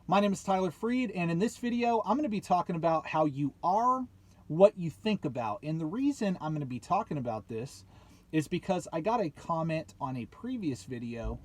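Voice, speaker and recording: A man's voice: fairly high pitch, sing-song, moderate pace, a little mellow. A man in his late twenties giving a motivational vlog talk to viewers, speaking emphatically, repeating points and asking rhetorical questions. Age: late 20s. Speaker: YouTube channel host.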